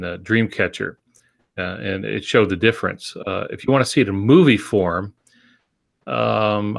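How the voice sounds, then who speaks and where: Low-voiced man, halting and conversational. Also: vocal fry. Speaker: podcast co-host. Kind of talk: casual panel discussion, explaining by example.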